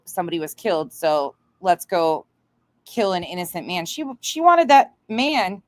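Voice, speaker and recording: A woman's voice: medium pitch, no room sound. A woman hosting a livestream, reacting and chatting to viewers in a blunt, indignant, sweary way. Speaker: livestream host.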